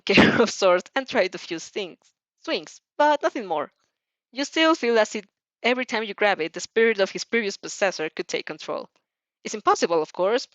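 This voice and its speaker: Female fan fiction narrator, mid-pitched, informal read with small stumbles; slightly lo-fi home recording. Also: no echo. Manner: informal reading with stumbles and self-corrections. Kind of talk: second-person story narration read aloud.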